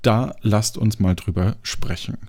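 A man's voice: fairly low pitch, steady tone, measured pace, darkish tone. A man hosting a podcast and chatting casually about production work. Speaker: podcast host and game master.

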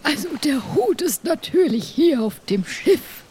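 Pirate crew member, played by a woman: confident, insistent, fairly high voice, faint noise, role-play audio. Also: very animated, measured pace, dark tone. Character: sturdy, self-assured pirate crew member.

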